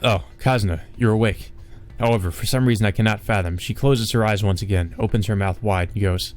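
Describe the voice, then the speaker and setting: A man with a fairly low voice reads visual novel narration aloud for a let's play. Faint music plays behind his dramatic storytelling. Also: steady tone, fast talker.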